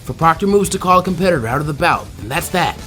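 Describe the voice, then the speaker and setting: A man playing a commanding tournament proctor, announcing formally and emphatically in a showy, drawn-out arena style. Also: on the high side, lively intonation, bright, forceful tone, echoey room, soft background music.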